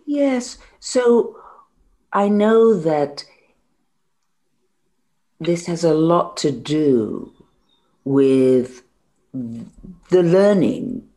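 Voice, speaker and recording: A woman's voice: low voice, expressive, very slow, long pauses, mellow. A woman interviewer speaking in a conversational way with her guest.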